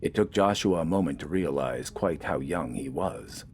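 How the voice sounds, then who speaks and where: A man reading a scripted dramatic story in a measured, deliberate storytelling manner. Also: very deep voice, lively intonation, a little mellow, slight background noise. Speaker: audiobook narrator.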